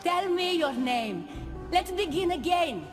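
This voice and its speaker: A woman's voice: extremely high pitch, sing-song, slow, heavily acted. A woman playing a poised, gracious, possessive goddess queen of a paradise realm in a video game cutscene. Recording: long reverb, music behind.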